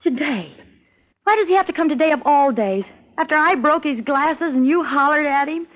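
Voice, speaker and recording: Casting: a fretful American wife, high and very expressive, husky, pleading, vintage radio thriller on a tinny recording. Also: female voice, normal speed. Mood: distraught, pleading. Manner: fretful, questioning, emotional. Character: anxious, pleading wife in a crime plot. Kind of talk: 1940s-50s American radio suspense acting. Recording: tinny, band-limited old radio recording.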